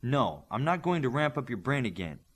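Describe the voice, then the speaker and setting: A man's voice: medium pitch, big pitch swings, fairly quick. A man voicing a confident young superpowered girl in an audiobook. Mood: breezy.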